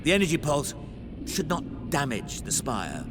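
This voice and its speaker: An actor playing a composed, scholarly sci-fi Inquisitor in a video game, speaking in a measured, formal, understated way. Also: male voice, fairly high pitch, very animated, loud music behind the voice. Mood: measured, dry. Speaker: Imperial Inquisitor.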